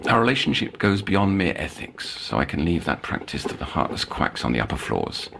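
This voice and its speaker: A man's voice: deep voice, very animated, a little fast, gravelly, background noise, heavily acted. A man playing an intense, formal and articulate counsellor at a psychiatric institute in an audio drama. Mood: formal, wry. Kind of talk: audio drama, dictated case notes and dialogue.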